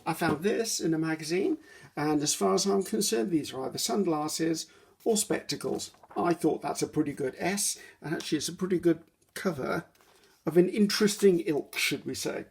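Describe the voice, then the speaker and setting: A woman's voice: low voice, normal speed, gravelly, a little mellow, husky. A woman hosting a casual craft video at her table at home, rambling and correcting herself with frequent ums. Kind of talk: casual chatty craft walkthrough.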